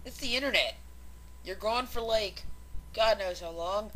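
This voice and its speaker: A woman's voice: medium pitch, unhurried, a bit bright, slight background noise, poor audio. A woman co-hosting in casual banter, playful and teasing in the way she talks. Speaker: co-host and voice performer.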